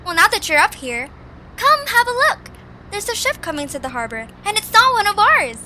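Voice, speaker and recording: A woman's voice: very high voice, very animated, normal speed, pushed and bright, slight background noise, heavily acted. A woman playing a bold, impatient young girl creature in a cartoon story, with a bossy, emphatic way of talking. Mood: eager.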